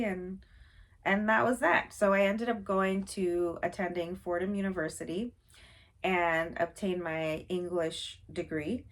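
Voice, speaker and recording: A young woman from Haiti, chatting casually to camera in her first vlog, a little nervous and full of fillers. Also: on the low side. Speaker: vlogger.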